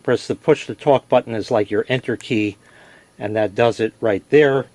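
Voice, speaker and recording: A man's voice: mid-range voice, normal speed, a little mellow, no room sound. A man giving a casual, plain-spoken product demonstration, explaining as he goes and correcting himself. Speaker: product reviewer. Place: indoors in a basement.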